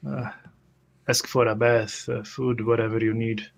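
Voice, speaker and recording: A man's voice: medium pitch, little pitch movement, a little slow, vocal fry, slight background noise. A man playing a tabletop roleplaying game, speaking for his character in the first person.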